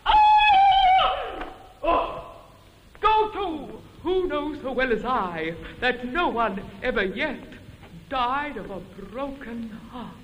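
A woman's voice: on the high side, very animated, slow, pauses often, noisy background. A woman playing a fierce, scorned older lady of the court in a comic operetta, declaiming grandly with sung phrases. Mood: scornful. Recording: echoey hall with long reverb.

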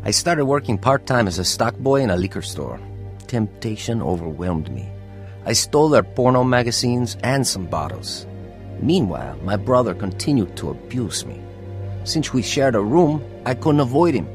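A man's voice: medium pitch, very animated, moderate pace, faint music behind. An actor playing a troubled, hot-tempered young man in a radio drama, both in dialogue and telling his own story. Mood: confessional, reflective. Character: troubled hot-tempered teenage boy, also narrating his life as testimony. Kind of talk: radio drama acting and first-person narration.

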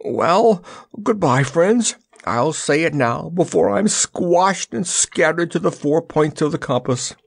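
A man's voice: fairly high pitch, expressive, slow pace, gravelly, husky, no room sound, studio quality, voice pushed up, full character voice. A man voicing a big, gloomy, sarcastic cowardly lion in a storybook, roaring and plaintive. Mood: alarmed roar.

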